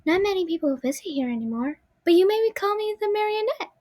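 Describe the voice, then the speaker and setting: A young woman voicing a shy, gentle animatronic puppet girl with a soft, childlike voice, timid and pleading when frightened. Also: extremely high pitch, expressive, fairly quick, dry recording, clean studio sound, pitched above the performer's own voice.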